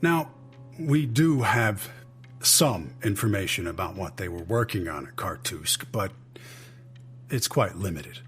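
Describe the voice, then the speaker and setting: A man's voice: deep voice, lively intonation, unhurried, fairly bright tone, faint music behind. Weary, wry male insider. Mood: wry, resigned. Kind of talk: naturalistic audio drama acting.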